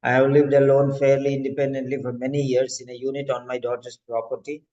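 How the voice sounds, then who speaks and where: A man's voice: mid-range voice, monotone, mellow, highs cut off, lightly acted. Man voicing a ninety-year-old client. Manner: slow, halting dictation of each phrase. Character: frail ninety-year-old client moving to a retirement home.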